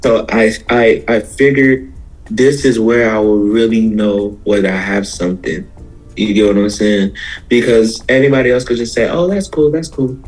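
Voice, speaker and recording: An American man from Houston, Texas, giving casual, reflective interview answers as a musician guest, explaining himself in a halting way. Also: lowish voice, darkish tone, low-quality recording. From Houston, Texas, USA.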